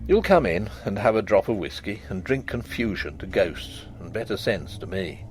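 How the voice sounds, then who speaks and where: A man's voice: on the low side, sing-song, normal speed, soft background music, voice pushed down. A man voicing a frightened young husband who has seen something he cannot explain, speaking in an agitated, pleading way. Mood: sheepish. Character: frightened young husband, frantic then sheepish.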